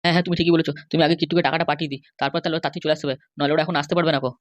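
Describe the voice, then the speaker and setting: A performer doing a middle-aged Bengali husband from a comedy cartoon, talking extremely fast in a close, dry recording. He placates and complains by turns, worried but always giving in. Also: mid-range voice, steady tone, no room sound, close to an everyday voice. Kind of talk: animated comedy cartoon dialogue.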